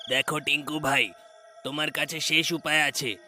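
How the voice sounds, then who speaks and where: Man as a sharp, forceful village man. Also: a little high, fairly even intonation, moderate pace, soft background music. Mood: stern, urgent. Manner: urgent, insistent. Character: alarmed village man urging drastic action.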